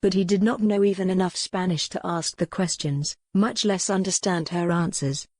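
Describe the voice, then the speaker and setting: A woman's voice: lowish voice, steady tone, moderate pace, darkish tone, no echo, studio quality. A woman reading a historical romance novel as a scripted, dramatic audiobook narration.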